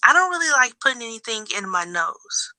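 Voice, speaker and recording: A woman's voice: on the high side, big pitch swings, a little fast, sharp tone, no echo. A woman in her twenties hosting a casual, conversational chat. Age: 20s. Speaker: host.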